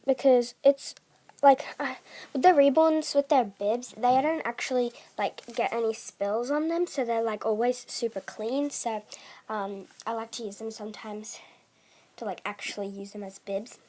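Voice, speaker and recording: A teenage girl talks through a casual vlog in a fairly high voice. She speaks softly, leans on fillers, and often fades into a whisper. She has a bad cough. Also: moderate pace. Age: teens. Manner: soft-spoken, many fillers, trails off into whispers. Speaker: doll collector vlogger. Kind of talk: casual chat.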